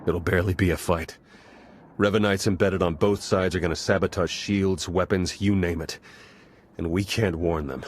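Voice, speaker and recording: Game spy: very low voice, dry, sardonic, battered but dependable, natural delivery. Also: male voice, steady tone, normal speed. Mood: urgent. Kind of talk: natural video game dialogue acting.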